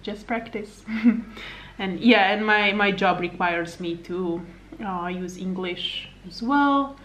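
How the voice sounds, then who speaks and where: A woman's voice: mid-range voice, slow, a bit bright, low-quality recording. Chatty Hungarian-accented woman vlogging at home. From Slovakia. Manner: rambling, chatty, frequent laughs and fillers. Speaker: fitness vlogger and coach. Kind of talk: casual chat to camera. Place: home living room, indoors. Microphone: camera on table at arm's length.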